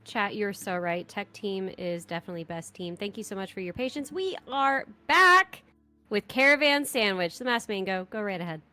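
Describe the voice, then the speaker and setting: A woman hosts a live stream, announcing to the audience. Her voice is bright and very animated, at an average pitch for a woman. Faint music plays behind her. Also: normal speed. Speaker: stream host.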